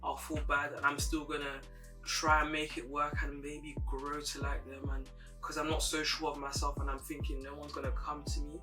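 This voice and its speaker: A young woman with a deep voice, a guest speaking candidly and reflectively in an interview. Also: level tone, moderate pace. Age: young adult. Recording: distant mic with music playing behind.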